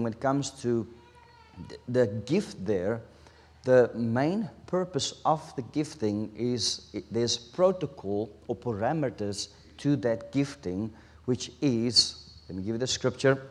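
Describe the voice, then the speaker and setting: A man from South Africa with an Afrikaans accent, whose first language is Afrikaans, preaching a sermon in an earnest, halting way with restarts. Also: mid-range voice, big-room sound.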